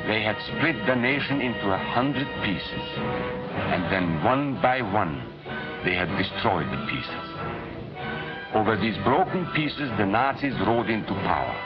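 A man's voice: fairly low pitch, very animated, a little slow, loud music behind the voice. A man playing an earnest narrator in a scripted 1940s educational film. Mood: grave, emphatic. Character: earnest male narrator warning against fascism. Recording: muffled 1940s film soundtrack.

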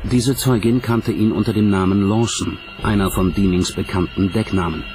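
Low-voiced male documentary narrator over music. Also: steady tone, normal speed. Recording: music bed under narration. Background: background music.

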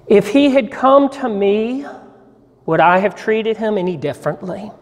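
A man's voice: high voice, expressive, a little slow. A man reading the part of a humble, devout cobbler who muses to himself in a pensive, self-questioning way in a dramatic story reading. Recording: hall reverb in a church.

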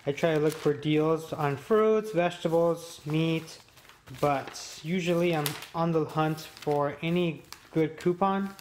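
A man's voice: a little high, slow pace, darkish tone. A man, chatting upbeat as a vlogger, talking on a hike. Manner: upbeat, chatty, tour-guide tone. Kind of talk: casual vlog narration. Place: outdoors on a hiking trail. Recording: noisy outdoor recording.

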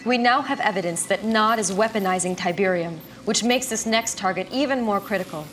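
A woman's voice: medium pitch, brisk, fairly bright tone, faint music behind, lightly acted, rough recording. A woman playing a confident military intelligence officer in a game, briefing a commander in a direct, informal way. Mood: confident, businesslike. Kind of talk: game cutscene mission briefing.

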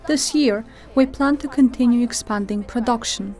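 Woman, fairly high voice, formal company representative, deliberate scripted delivery, soft music underneath. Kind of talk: scripted corporate presentation. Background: music bed.

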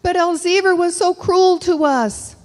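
A woman voicing a crowd of desperate, thirsty villagers with high, pleading cries, heard in a reverberant hall. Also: unhurried, a little mellow. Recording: long reverb of a large hall.

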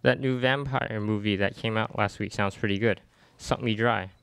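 A man's voice: fairly low pitch, fairly even intonation, moderate pace, fairly bright tone, clean tone, dry recording. A young man playing a breezy, eager vampire fan in a student radio play, reading casually from a script. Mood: breezy, keen. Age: young adult. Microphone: microphone on boom stand.

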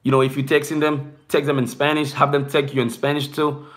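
Young man, non-native English speaker, bright forceful voice, loose rambling live-stream chat, small indoor room, close device mic. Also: medium pitch, completely flat delivery, fairly quick, slight background noise. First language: not English. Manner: loose, rambling, peppered with "bro" and "you know what I'm saying".

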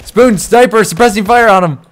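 A man's voice: high voice, a little fast. A young man chatting casually and joking while playing a shooter game with a friend. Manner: animated, jokey, shouts in firefights. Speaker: gaming channel host. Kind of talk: casual co-op gameplay chat.

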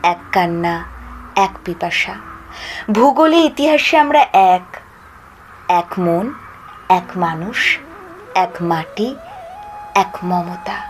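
A woman gives an expressive poetry recitation in a mid-pitched voice. Her phrasing is measured and dramatic, with pauses between short phrases and drawn-out endings. There is some noise and ambience behind her.

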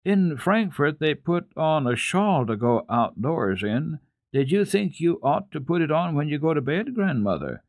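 A man's voice: on the high side, sing-song, measured pace, no room sound, studio quality, pitched above the performer's own voice. A man voicing an eager, anxious, tender-hearted little girl with an earnest, questioning manner in a storybook reading. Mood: puzzled.

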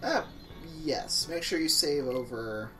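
A man's voice: on the high side, expressive, takes it slowly, fairly bright tone. Young male co-host for casual gaming banter, dry humour, a little distant from the mic with music underneath. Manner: wry, joking. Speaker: let's play co-host.

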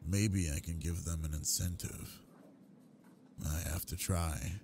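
A young man playing a brooding, possessive delinquent goth bully in an intimate ASMR roleplay, with a low, husky voice and a domineering murmured drawl. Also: steady tone, slow, noisy background. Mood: brooding, musing.

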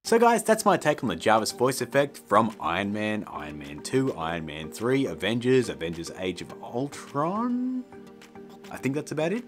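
A man's voice: mid-range voice, wildly swooping pitch, moderate pace, soft background music. A middle-aged man hosting a casual video tutorial, addressing viewers directly in a chummy way. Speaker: tutorial host.

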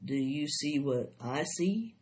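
Woman as a low-voiced, dazed farmhand. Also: expressive, slow, darkish tone, muffled recording. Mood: dazed, awed. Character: dazed, simple farmhand man, hesitant and awed.

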